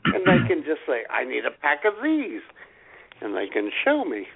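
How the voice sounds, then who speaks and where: A man's voice: mid-range voice, huge pitch swings, moderate pace, gravelly, breathy edge. A man co-hosting a talk show, telling casual comic stories and acting out exchanges with customers. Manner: acts out customer exchanges as he talks. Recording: thin phone-like lo-fi sound.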